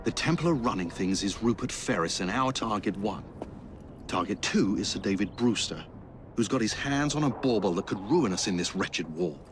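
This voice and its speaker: An actor playing a wry, theatrical older British mentor in a video game cutscene. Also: male voice, on the low side, expressive, normal speed, noisy background, natural voice. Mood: businesslike.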